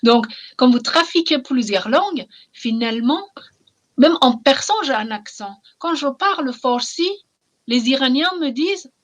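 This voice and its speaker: A middle-aged woman from Iran speaks French with a clear Persian accent, which she learned as an adult. Her voice sits fairly high for a woman. She talks with passion and emphasis, and she pauses often and repeats phrases to drive her point home. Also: lively intonation. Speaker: novelist, interview guest. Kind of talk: reflective interview talk.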